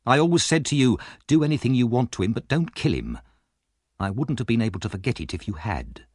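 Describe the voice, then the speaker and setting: An edgy male villain acted by a narrator, impatient and jumpy, with a lively, moving pitch. He is a storybook conspirator, quick to defend himself. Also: no room sound, studio quality, lightly acted. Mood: impatient, defensive.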